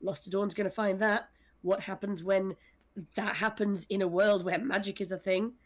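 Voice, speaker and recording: Woman, mid-pitched voice, casual panel talk, wry, self-deprecating, writer-director. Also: steady tone, normal speed. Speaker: audio drama scriptwriter and director.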